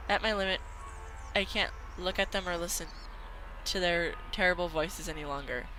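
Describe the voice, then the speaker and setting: Bright-voiced amateur reader, slightly stumbling. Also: mid-range voice, normal speed, soft background music, low-quality recording. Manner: stumbles over words and repeats them. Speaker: video game player reading a visual novel aloud. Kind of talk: amateur dramatic read-aloud of game text.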